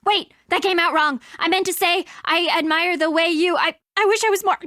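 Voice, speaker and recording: An actress playing a flustered, defensive, sharp-tongued teenage girl in a video game. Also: very high-pitched, a little fast, no echo, clean studio sound. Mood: flustered, exasperated. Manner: prickly, easily exasperated.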